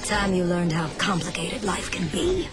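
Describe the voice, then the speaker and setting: An actress playing a cold, taunting female dragon villain in a video game, with a mocking, breathy drawl. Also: on the low side, lively intonation, moderate pace, loud music behind the voice, natural voice.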